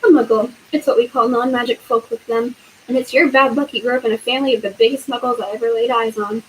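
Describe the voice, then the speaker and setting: A woman voicing a big, gruff but kindly male giant groundskeeper with a homely dialect, read aloud as a storybook. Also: on the high side, steady tone, fast talker, a little hiss or hum, lightly acted, low-quality recording. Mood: blustering.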